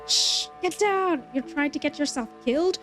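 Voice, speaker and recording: Woman as game-story hunter, male, high and urgent, scolding in tense warnings. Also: animated, normal speed, a bit bright, faint music behind.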